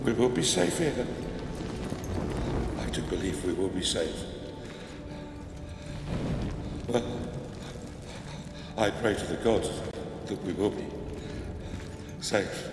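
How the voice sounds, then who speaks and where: A man's voice: lowish voice, very animated, takes it slowly, creaky, pauses often, heavily acted. A man playing a cowardly, imperious Roman emperor in a video game, theatrical and pompous in manner. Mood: shaken, relieved. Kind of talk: dramatic video game acting. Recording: echoey hall with long reverb, music behind.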